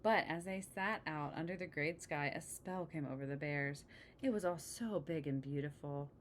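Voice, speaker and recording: Female storybook reader, slightly low register, chatty with young listeners, faint noise on the recording. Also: moderate pace. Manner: engaging, asks the listener questions. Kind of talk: read-aloud of a children's picture book with chatty asides to the listener.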